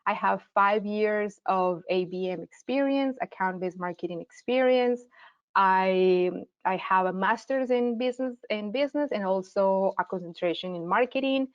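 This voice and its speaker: Colombian-accented woman in her 30s, friendly workshop teacher, mid-pitched voice, slightly dull web-call sound. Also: moderate pace, clean tone. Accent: Colombian, from Medellín. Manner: friendly, explanatory, with frequent fillers and restarts. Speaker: marketing teacher and host. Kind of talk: live online workshop presentation.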